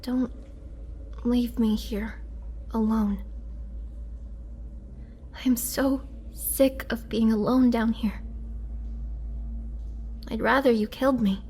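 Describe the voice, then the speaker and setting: Casting: actress for young-looking ancient vampire prisoner, female, wry and pleading, slightly high pitch, unhurried halting delivery, audio roleplay. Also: fairly even intonation, darkish tone, slight background noise. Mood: pleading, lonely. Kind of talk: dramatic girlfriend roleplay audio acting.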